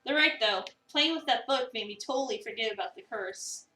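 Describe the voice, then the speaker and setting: A woman's voice: a little high, expressive, a little fast, bright, forceful tone. A woman voicing a curious, casual young newcomer at a cafe in a visual novel. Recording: echoey room, distant mic.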